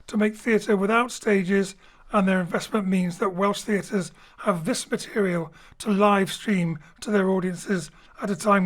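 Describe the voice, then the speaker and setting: A man hosting and introducing an audio drama from his home studio, relaxed and lightly joking. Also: high-pitched. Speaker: host and director. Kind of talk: friendly show introduction. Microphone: home studio mic.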